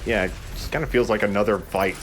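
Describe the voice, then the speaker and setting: A man's voice: on the low side, quick pace. A man giving casual, joking, sweary commentary as he plays a video game with friends. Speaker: let's play host playing the game. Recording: faint background noise.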